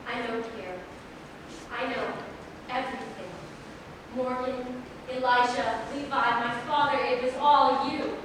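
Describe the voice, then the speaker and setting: A woman's voice: sits high, steady tone, a little slow, long pauses. A woman playing a wronged, resolute young queen in a staged play reading, earnest and dramatic. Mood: accusing. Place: large echoing room, staged reading. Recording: echoey hall from far, clear noise.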